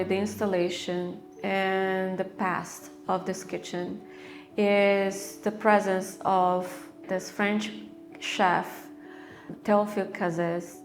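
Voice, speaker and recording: Halting Brazilian-born woman artist, flat. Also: mid-range voice. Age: middle-aged. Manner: halting, searching for words, repeats words. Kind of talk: documentary interview.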